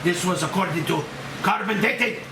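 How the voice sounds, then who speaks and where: A man's voice: high-pitched, brisk, natural voice. A man playing an earnest scientist doctor who speaks in clipped, broken English at a screenplay table read. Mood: grave explaining. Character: Japanese scientist doctor, earnest expert on a mutated monster. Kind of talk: table read of a monster movie. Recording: roomy, distant mic, air-conditioning hiss.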